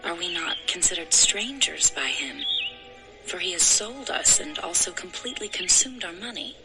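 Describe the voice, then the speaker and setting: An actress playing an aggrieved daughter and wife, indignant and formal, in a dramatized scripture recording. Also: female voice, lowish voice, animated, moderate pace, over music.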